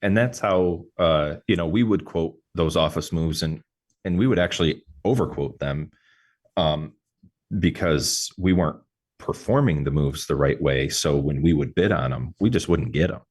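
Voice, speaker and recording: A man hosting a podcast conversation, talking casually and haltingly with lots of restarts. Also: extremely low pitch, darkish tone. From New York State. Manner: halting, many restarts and fillers. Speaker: podcast host, mover. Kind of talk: casual interview chat.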